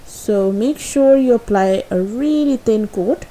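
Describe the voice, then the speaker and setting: A woman whose first language is Urdu talks through a craft tutorial in a slow, unhurried voice-over. Her tone is soft and mellow, and she sounds a little nervous. She pauses often on "uh" and "um" and corrects herself as she goes. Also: on the high side, poor audio. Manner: hesitant, with frequent fillers and self-corrections.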